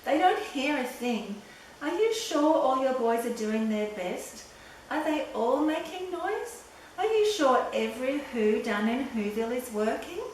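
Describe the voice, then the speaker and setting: A woman voicing a gentle, earnest male elephant in a storybook, pleading and exclaiming urgently. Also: high-pitched, lively intonation, a little slow. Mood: anxious questioning. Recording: roomy echo, distant mic, faint noise.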